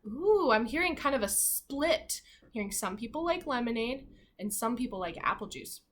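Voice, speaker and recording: A woman with a fairly high, very animated voice reads like a primary school teacher at story time. Her pitch swings freely as she moves between expressive reading and chatty asides, speaking straight to young listeners. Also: normal speed. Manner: expressive, asks the children questions directly. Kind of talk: animated read-aloud of a children's picture book with asides.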